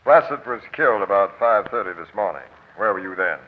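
Blunt police captain, dull old radio. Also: male voice, on the low side, expressive, brisk. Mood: stern, questioning. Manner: blunt, commanding, dry. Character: tough, no-nonsense male police captain. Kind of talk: 1940s radio crime drama acting. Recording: dull, band-limited old radio broadcast.

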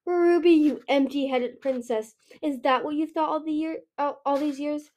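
A haughty villainous older dragon queen from a fantasy storybook, acted by a woman. The voice is high and airy, drawling with mocking confidence. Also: fairly even intonation, normal speed, a little mellow, lightly acted. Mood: mocking amusement.

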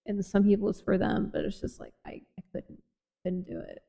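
A woman's voice: medium pitch, huge pitch swings, normal speed, vocal fry, warm tone. A young woman from Boston with a light Boston accent, hosting a casual podcast chat and rambling with many fillers. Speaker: podcast host.

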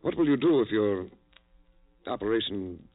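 An actor playing a reluctant, horrified surgeon friend, grave and hesitant in old-time radio horror style. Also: male voice, on the low side, animated, moderate pace, no room sound, soft background music. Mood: grave doubt. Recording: tinny, muffled old radio recording.

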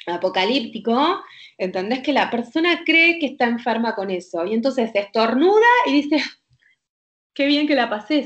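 A woman with a Rioplatense accent speaks in a fairly high voice, explaining medical points as a doctor. She is insistent and emphatic and keeps asking whether the listener follows. The audio is dull and lo-fi. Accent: Rioplatense Spanish. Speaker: doctor guest. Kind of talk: heated conversational explanation.

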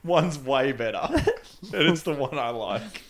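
A man's voice: mid-range voice, animated, unhurried. A young Australian man co-hosting a podcast, bantering casually with friends. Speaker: podcast co-host.

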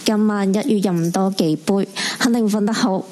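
A faint hiss sits under a brash clinic assistant played by a woman in a radio play. She is a blunt, chatty, bossy woman with a mellow, relaxed tone. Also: medium pitch, normal speed, natural voice. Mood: bossy, teasing. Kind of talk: Cantonese live radio drama acting. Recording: hissy, faintly noisy recording.

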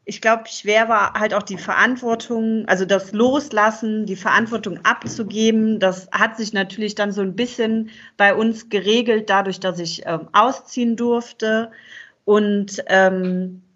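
Bright-voiced woman guest, reflective. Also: mid-range voice, steady tone, a little slow. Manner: reflective, hesitant with many fillers. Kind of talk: casual interview chat. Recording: dull lo-fi sound.

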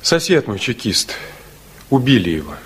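An actor playing an earnest, troubled nineteen-year-old student in a radio drama, speaking tersely and with restraint. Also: male voice, medium pitch, big pitch swings, moderate pace, a bit bright, husky, heavily acted. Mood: troubled, reluctant. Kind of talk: 1960s Soviet radio drama acting. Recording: faintly noisy 1960s radio recording.

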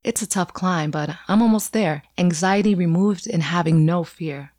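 A woman recites poetry as a guest reader. Her voice sits a little low for a woman, and the sound is close and dry. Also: moderate pace, a little mellow, dry recording.

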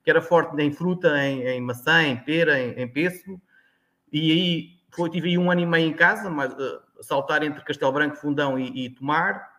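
Portuguese guy in his 40s rambling on, slightly high voice, lots of ums and restarts. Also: steady tone, moderate pace, bright, forceful tone. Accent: European Portuguese. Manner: rambling, many restarts and fillers. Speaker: guest. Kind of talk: casual interview chat.

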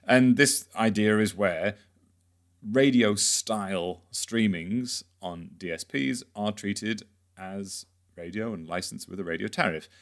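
A man with a fairly low voice speaks as a podcast host. He asks questions conversationally, circling a little tentatively toward his point. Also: takes it slowly.

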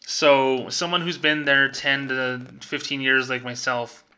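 A man's voice: mid-range voice, steady tone, measured pace, pushed and bright, clear, resonant tone. A middle-aged man speaking as a vlogger, telling a personal story in a casual, conversational way and acting out remembered dialogue.

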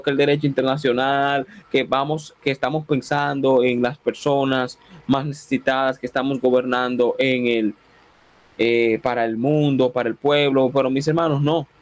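A man from the Dominican Republic with a Dominican Spanish accent, hosting a radio program and preaching a sermon with a closing prayer. He speaks fervently, with frequent exclamations of amen and praise. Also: medium pitch. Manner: leads a repeat-after-me prayer in a slow, solemn cadence.

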